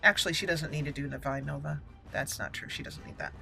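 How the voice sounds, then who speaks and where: A woman's voice: deep voice, fast, gravelly, pushed and bright, faint music behind. A woman giving casual let's play commentary as a channel host, thinking aloud and repeating words as she goes.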